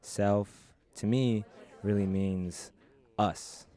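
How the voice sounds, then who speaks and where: A man's voice: fairly low pitch, fairly even intonation, very slow, mellow. A man answering an interview question reflectively, choosing his phrases in a thoughtful, philosophical way.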